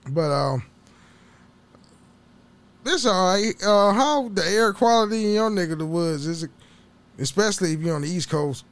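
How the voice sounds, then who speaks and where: A man with a somewhat high-pitched voice chats alone into a home mic, loose and rambling. He curses freely and laughs often, and his voice carries a slight hoarseness. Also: lively intonation, normal speed, long pauses, a bit bright. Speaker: podcast host. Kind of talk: casual solo podcast chat.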